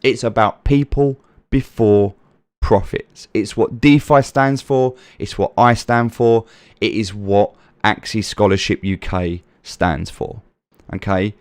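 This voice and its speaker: A man's voice: lowish voice, takes it slowly, clear, resonant tone, no room sound. British male streamer, soothing voice, earnest and preachy, dry close sound. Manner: earnest, preachy, repeats points for emphasis; reads viewer names from chat and answers them. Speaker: livestream host. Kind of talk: casual livestream chat.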